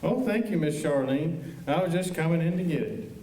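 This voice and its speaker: A man's voice: a little high, lively intonation, moderate pace, warm tone, close to an everyday voice. A man acting a polite, bashful, folksy marshal's helper in a western radio drama, speaking hesitantly. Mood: hesitant, obliging. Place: high school auditorium stage. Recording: echoey auditorium, distant mic, faint noise.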